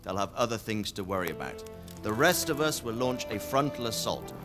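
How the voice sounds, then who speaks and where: An actor playing a steady medieval noble commander in a video game, composed, formal and commanding. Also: male voice, on the low side, brisk, music in the background. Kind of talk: dramatic video game cutscene acting.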